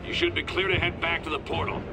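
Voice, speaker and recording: Team leader: brisk, directive, raised register, game cinematic under blaring score. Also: male voice, a little high, steady tone, gravelly, recorded from a distance, poor audio. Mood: relieved, brisk. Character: brisk male team leader giving mission orders. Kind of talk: video game cutscene acting. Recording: very loud music over voice.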